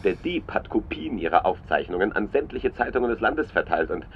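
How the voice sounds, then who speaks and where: An actor playing an anxious, hesitant publisher in a German radio drama, heard over a phone line. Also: male voice, lowish voice, very animated, a little fast. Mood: alarmed, uneasy. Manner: hesitant, agitated. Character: anxious male book publisher, flustered bearer of bad news. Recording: dull, band-limited phone line, faint noise.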